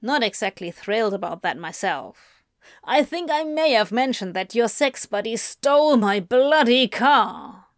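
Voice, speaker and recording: Woman reader doing a sulky wisecracking demon man, unhurried and dry. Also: fairly high pitch, lively intonation, dry recording. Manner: drawling, hissing, sulky. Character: sardonic male demon, cocky and teasing.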